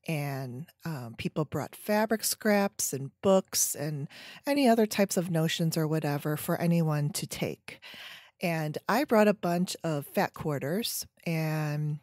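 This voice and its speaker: A woman in her forties hosting a solo podcast chat at home, her voice scratchy from a sinus infection, with lots of ums and trailing pauses. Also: low-pitched, darkish tone, no echo, clean studio sound. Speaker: podcast host. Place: home room with bookshelves. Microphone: headset.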